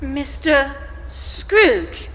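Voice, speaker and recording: A woman playing a gracious, flustered charity gentlewoman in a live radio play, speaking haltingly and with genteel emphasis. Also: sits high, sing-song, full character voice. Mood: astonished. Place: large church, live performance. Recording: echoey hall, noisy live ambience.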